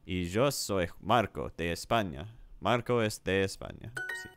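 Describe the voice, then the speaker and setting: A man's voice: low-pitched, a little slow, fairly bright tone, dry recording. A man streaming a language app, repeating the sentences aloud and correcting himself with small laughs. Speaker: streamer learning Spanish. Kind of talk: casual commentary while playing a language app.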